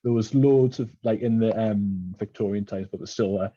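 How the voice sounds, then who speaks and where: Rambling Geordie man, warm voice. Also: fairly low pitch, normal speed, no echo, highs cut off. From Newcastle, England. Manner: rambling storyteller with many fillers. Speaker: podcast host. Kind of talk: casual chat.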